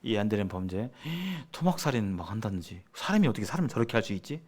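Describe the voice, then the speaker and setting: A man's voice: fairly low pitch, big pitch swings, fast talker, a little mellow. A man giving a sermon-like seminar lecture as a preacher, speaking emphatically and repeating phrases for stress. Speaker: preacher leading a church seminar.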